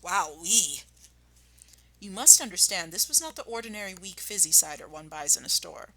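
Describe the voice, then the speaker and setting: A woman's voice: mid-range voice, sing-song, fairly quick, pushed and bright, slight background noise. A woman primary school teacher reading a children's story expressively and addressing her pupils. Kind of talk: expressive children's storybook read-aloud and lesson.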